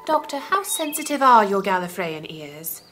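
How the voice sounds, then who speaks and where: A woman's voice: medium pitch, very animated, normal speed, music in the background, close to an everyday voice. A woman playing a time traveller's dry-witted, cautious companion in an audio drama, speaking formally and precisely. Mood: wary, dry.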